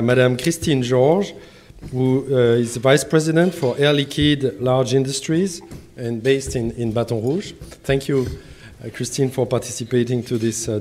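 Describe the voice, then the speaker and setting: French man, halting formal remarks. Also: medium pitch, a little mellow, slight background noise. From France. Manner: halting, many fillers and restarts. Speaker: panel moderator and diplomat.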